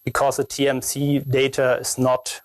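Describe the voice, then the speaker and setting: A young man with a strong German accent presents technical research at a conference. His pitch sits in a middle range and the sound is fairly dry. He talks haltingly, searching for phrasing as a non-native speaker. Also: completely flat delivery, no echo.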